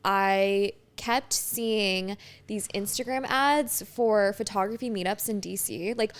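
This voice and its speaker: A woman's voice: mid-range voice, slow, clean tone. A woman in her twenties from France, a podcast guest chatting casually and upbeat, with many filler "likes". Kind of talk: casual chat.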